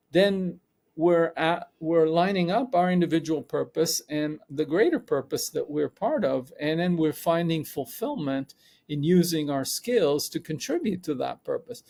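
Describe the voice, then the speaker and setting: Middle-aged man, podcast guest, reflective and meandering, gravelly voice. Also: on the high side, darkish tone. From Israel. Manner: thoughtful, rambling with self-corrections. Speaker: podcast guest, psychologist and coach. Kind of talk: reflective conversational interview.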